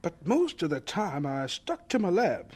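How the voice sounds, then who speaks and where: Old devout scientist, humble, raspy-husky, voice swoops around, radio play. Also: male voice, on the high side, wildly swooping pitch, measured pace, darkish tone, heavily acted. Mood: earnest. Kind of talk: radio drama acting.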